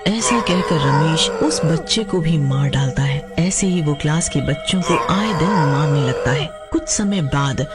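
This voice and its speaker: A woman's voice: deep voice, lively intonation, measured pace, few pauses. A woman narrating a scripted story as a storyteller. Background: music.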